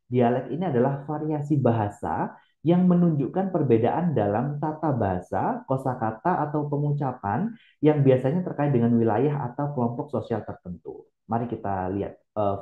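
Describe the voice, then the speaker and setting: A man giving a lecture, explaining step by step with many hesitant filler pauses. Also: mid-range voice, lively intonation. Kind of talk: recorded lecture. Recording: echoey room, phone-like band.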